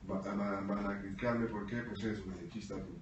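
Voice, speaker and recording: A man's voice: on the low side, little pitch movement, normal speed, highs cut off, noisy background. A Mexican man co-hosting a casual panel chat, telling wry stories with a Mexican Spanish accent. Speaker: co-host. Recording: very echoey room, mic at a distance.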